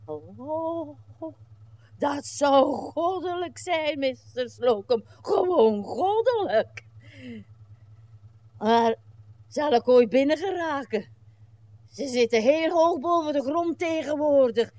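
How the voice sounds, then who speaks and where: An actress playing a weary old woman who fusses and complains in a radio play, with plaintive, halting delivery. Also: sits high, animated, darkish tone, no room sound. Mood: coy, fussing. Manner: plaintive, halting, muttering asides and sudden exclamations. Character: weary, fussing, complaining old lady, stiff and breathless.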